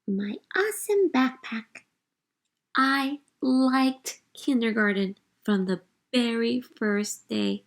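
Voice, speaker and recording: Storybook kindergarten girl, eager and earnest, expressive telling at a medium pitch, read by an adult woman.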